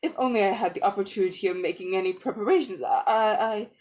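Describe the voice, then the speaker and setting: A woman voicing a nervous old gentleman magician, stammering and obsequious, in a dramatic storybook reading. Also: mid-range voice, quick pace, natural voice. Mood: flustered, fawning.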